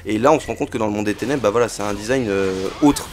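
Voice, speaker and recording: A man's voice: on the low side, fast, a little mellow, a little hiss or hum. A man with a cold casually commenting on a game he is playing, chatty and full of fillers and interjections. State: has a cold. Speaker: let's play host.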